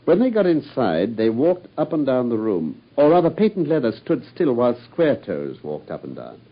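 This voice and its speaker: Self-assured Victorian detective lecturing, husky tone, lively pitch, dull old radio sound. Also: male voice, normal speed. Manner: crisp, confident, lecturing. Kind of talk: radio drama.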